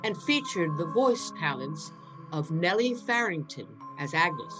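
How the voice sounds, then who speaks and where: A woman's voice: fairly low pitch, lively intonation, a little slow, rough recording. A woman reading scripted credits as an announcer at the end of an audio production. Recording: music under the voice. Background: music.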